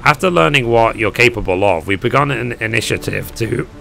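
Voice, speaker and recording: A man voices a confident male officer from a fantasy game, brisk and commanding. The delivery is low-pitched and a little hoarse, with faint game music behind it. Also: lively intonation, sharp tone, full character voice.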